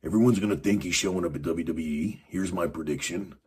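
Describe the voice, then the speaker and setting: A man with a raspy, extremely deep voice hosts a podcast on his own. He talks in a loose, rambling way, full of "you know" and "uh". There is a breathy roughness to his tone. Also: a little fast, darkish tone. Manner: rambling, many fillers and restarts. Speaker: podcast host. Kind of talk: casual wrestling commentary monologue.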